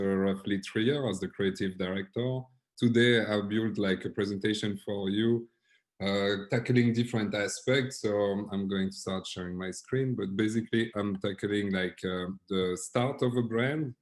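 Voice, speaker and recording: Man, French accent, low voice, relaxed workshop talk, video call mic. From Belgium. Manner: relaxed, filler-heavy, restarts phrases. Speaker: workshop presenter, creative director. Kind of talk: online workshop presentation.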